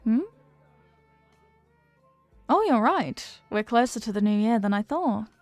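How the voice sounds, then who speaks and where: A woman's voice: a little high, animated, slow, darkish tone, no echo, faint music behind. A woman playing a flirty, playful stranger at a New Year's party in an intimate audio roleplay, with a coy, teasing, murmuring delivery. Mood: flirty, hopeful.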